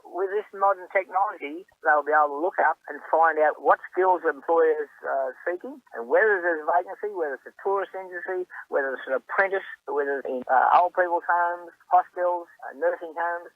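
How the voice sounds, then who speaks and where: Over a tinny phone line, an Australian man gives an off-the-cuff comment as a mayor. His voice is a little high for a man and the sound is dry. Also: dry recording. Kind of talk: unscripted interview soundbite.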